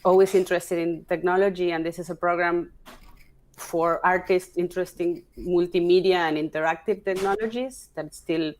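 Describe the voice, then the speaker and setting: A woman, a guest talking through her career in a relaxed interview, pausing and restarting as she thinks. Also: lowish voice. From Argentina. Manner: halting, restarts often, thinking aloud. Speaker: guest, opera singer and stage director. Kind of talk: casual interview conversation.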